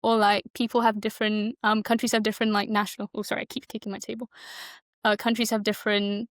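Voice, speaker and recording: A young woman talks casually as a podcast guest, working out her thoughts as she goes in an informal way. Her pitch stays at a middling height and moves fairly little. The sound is dry, with little room in it. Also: little pitch movement, quick pace, no room sound. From Malaysia, moved to the UK as a child. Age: young adult. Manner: informal, loosely structured, thinking aloud. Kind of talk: casual, reflective discussion.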